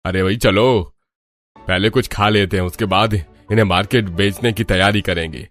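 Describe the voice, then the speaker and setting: A man's voice: fairly low pitch, fairly even intonation, moderate pace, fairly bright tone, soft background music, close to an everyday voice. A man voicing a caring older village father-in-law in a cartoon, speaking in a plain, fatherly way. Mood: practical. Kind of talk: animated Hindi cartoon story acting.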